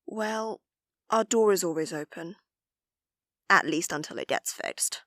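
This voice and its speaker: An actress playing a kind, wistful colleague in an audio drama, with a soft, tender delivery. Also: female voice, fairly low pitch, a little slow, dry recording, studio quality.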